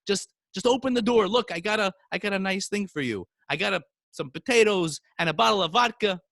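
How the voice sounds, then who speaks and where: Man doing a blustering, henpecked landowner, pitched up. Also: fairly quick, vocal fry, fairly bright tone, dry recording, clean studio sound. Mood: pleading. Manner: hesitant, coaxing, exasperated.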